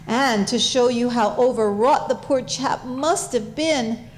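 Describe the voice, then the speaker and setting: A woman's voice: on the high side, takes it slowly. A woman narrating a comic stage play as scripted storytelling.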